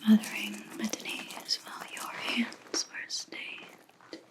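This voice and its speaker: A woman playing a stern, menacing vampire hunter in an ASMR roleplay, speaking in a breathy whisper with deliberate, taunting pauses. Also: lightly acted. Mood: cold, contemptuous. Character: stern female vampire hunter interrogating a captive, menacing, contemptuous.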